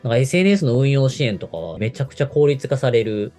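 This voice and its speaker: A man's voice: mid-range voice, big pitch swings, fast, dark tone. A man of about forty from Japan, co-hosting a radio show and explaining things in casual conversation. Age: around 40. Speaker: radio show co-host, marketer. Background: background music.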